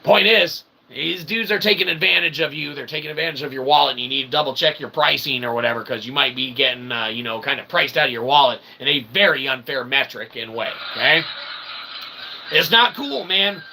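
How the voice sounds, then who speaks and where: Forceful, gravelly man on a rant. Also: mid-range voice, fairly quick, a little hiss or hum. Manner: emphatic, indignant, sweary; wordy mock-formal phrasing with frequent "okay?" tags. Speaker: independent author and channel host. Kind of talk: casual ranting monologue to viewers.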